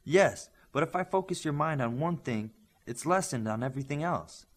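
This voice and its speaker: A man's voice: on the high side, animated, moderate pace, pitched above the performer's own voice. A man voicing a confident young superpowered girl in an audiobook. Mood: breezy.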